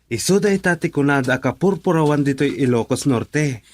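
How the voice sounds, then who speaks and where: An actor playing an easygoing, helpful young driver and colleague in an Ilocano radio drama. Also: male voice, on the high side, expressive, normal speed. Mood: upbeat.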